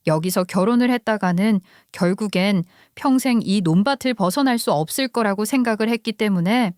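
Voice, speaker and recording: A woman's voice: mid-range voice, a little mellow, dry recording. Woman narrating a story in a dry studio. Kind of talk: scripted first-person story narration.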